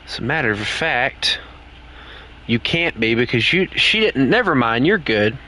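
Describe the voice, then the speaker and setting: A man's voice: medium pitch, big pitch swings, measured pace, bright, forceful tone, rough recording. A young man with a Southern US accent, chatting casually and candidly with other players while streaming a game. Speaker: gaming streamer. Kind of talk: casual live-stream chat.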